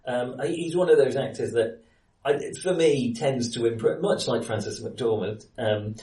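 Guy chatting about movies on a podcast, halting delivery, kinda far from the mic in an echoey room. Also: mid-range voice, warm tone. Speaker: podcast host. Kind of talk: casual film discussion. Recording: roomy echo, distant from microphone.

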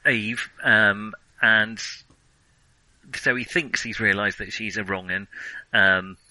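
British guy on a film podcast, really bright forward voice, bit halting, dry sound. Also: lowish voice, fairly even intonation, no room sound, poor audio. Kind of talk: casual film discussion.

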